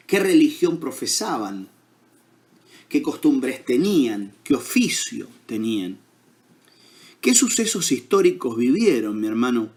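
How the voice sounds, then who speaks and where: A man with an Argentine accent preaching a sermon as pastor, unhurried, with many fillers and questions to the congregation. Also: mid-range voice, darkish tone. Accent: Argentine Spanish.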